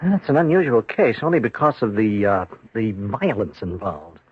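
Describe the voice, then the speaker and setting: An actor playing a grave, thoughtful psychiatrist in a radio drama, speaking in a measured, reflective way. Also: male voice, lowish voice, lively intonation, a little hiss or hum, close to an everyday voice. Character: grave, thoughtful psychiatrist, patient and world-weary. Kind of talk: 1970s radio drama acting. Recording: dull old radio recording, dry.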